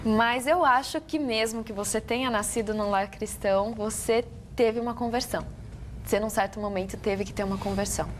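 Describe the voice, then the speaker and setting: A woman's voice: on the high side, a little fast, a little hiss or hum. Brazilian woman hosting a youth talk. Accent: Brazilian Portuguese. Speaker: host. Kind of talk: casual panel chat.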